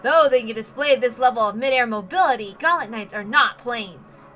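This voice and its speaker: Bright-voiced woman reading dramatically. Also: on the high side, normal speed, soft background music. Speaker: reader of a visual novel. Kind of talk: dramatic scripted narration read aloud.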